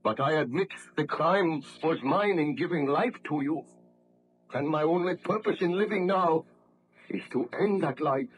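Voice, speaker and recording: An actor playing a tormented, guilt-ridden baron scientist, speaking in a formal, anguished, declamatory way in a 1930s radio horror play. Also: male voice, on the high side, unhurried, faint music behind, natural voice. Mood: grim, resolute. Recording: noisy old 1930s radio recording.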